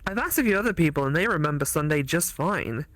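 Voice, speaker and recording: A woman voicing a teasing, studious female ghost classmate in a visual novel, speaking deliberately and articulately. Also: low voice, expressive, dry recording. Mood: serious, curious. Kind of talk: visual novel character read aloud for a let's play.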